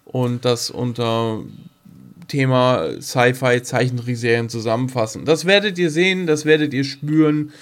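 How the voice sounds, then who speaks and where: A man's voice: medium pitch, normal speed. A man chatting casually as a podcast co-host, rambling informally and talking straight to the listeners.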